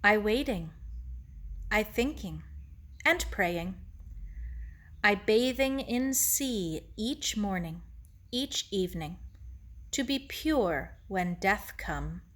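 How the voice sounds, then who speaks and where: A woman voicing a gentle, wise elderly Japanese man in a storybook, speaking in measured, spare phrases of broken English. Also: mid-range voice, expressive. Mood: serene, solemn. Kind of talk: children's novel read aloud.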